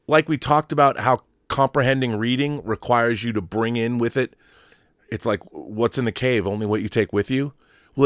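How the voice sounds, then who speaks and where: A man's voice: medium pitch, dry recording, studio quality. A middle-aged American man giving casual, opinionated commentary as a show host, rambling and emphatic, with some swearing.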